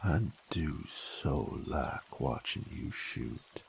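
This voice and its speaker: A man playing a cocky, possessive, sadistic bandit with a gravelly, teasing voice in an intimate audio roleplay. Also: extremely low pitch, very slow, slight background noise, heavily acted. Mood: possessive, coaxing. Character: cocky, possessive, sadistic male bandit, rough-spoken and seductive. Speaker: voice actor.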